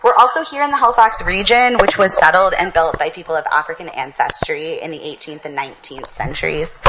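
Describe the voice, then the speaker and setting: A woman hosting an outdoor panel, reading an opening statement in a measured, earnest way, then giving casual announcements. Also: lowish voice, heavy background noise. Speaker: event host and panel moderator. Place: outdoor public event.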